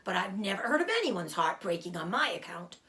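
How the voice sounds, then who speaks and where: A woman's voice: mid-range voice, big pitch swings, fairly quick, pushed and bright. A woman voicing a greedy, self-pitying old male rat in a storybook, complaining and mocking theatrically.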